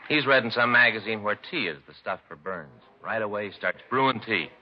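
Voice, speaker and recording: Radio play detective's partner, male actor: American, deep-toned, complaining family man, folksy and rambling, muffled old recording. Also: brisk, lightly acted. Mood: aggrieved. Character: grumbling, put-upon family-man police detective sidekick. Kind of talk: 1950s radio acting, conversational dialogue. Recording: dull vintage radio recording, faint noise.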